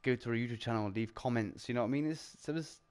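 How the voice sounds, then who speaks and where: A man with a British accent, hosting a casual round-table chat about games, talking in quick bursts, often laughing and filling with "like". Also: medium pitch, noisy background. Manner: animated, chatty, laughs often, heavy filler "like". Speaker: streamer and show host.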